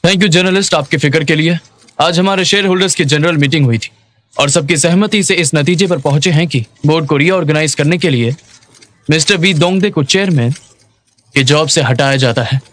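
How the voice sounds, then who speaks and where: A man playing a scheming, arrogant businessman with a measured, menacing manner in a dubbed drama. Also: a little high, a bit bright, close to an everyday voice. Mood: formal, assured.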